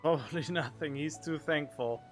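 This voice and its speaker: A man's voice: a little high, normal speed. A man doing casual, joking let's play commentary and reading dialogue choices aloud. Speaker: gaming streamer. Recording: faint game music behind.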